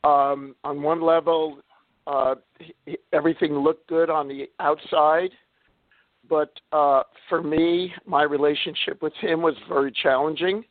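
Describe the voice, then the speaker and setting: Hoarse-voiced male guest on the phone. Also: a little high, steady tone, measured pace, no room sound. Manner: chatty, laughs often, many fillers. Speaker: guest author. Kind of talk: casual interview talk. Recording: tinny phone line.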